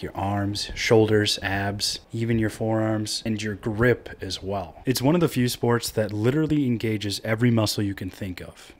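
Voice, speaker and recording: A young man in his twenties, an upbeat vlogger explaining a sport in a chatty way from a cozy living room. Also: fairly low pitch. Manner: chatty, upbeat, slangy asides. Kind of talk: casual explainer vlog.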